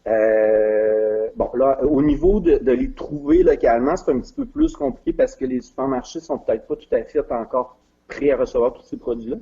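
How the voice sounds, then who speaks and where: Middle-aged Quebec man, husky and animated. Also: medium pitch, muffled recording. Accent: Quebec French. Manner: hesitant, many fillers and restarts. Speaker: guest, regional business development adviser. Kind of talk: casual interview chat.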